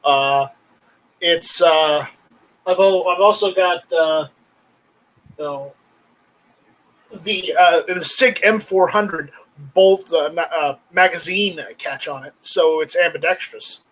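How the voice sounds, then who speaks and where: A man hosting a livestream, chatting casually and haltingly, often repeating words as he rambles along. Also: a little high, fairly bright tone, low-quality recording. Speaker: livestream host. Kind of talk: casual unscripted chat about gear.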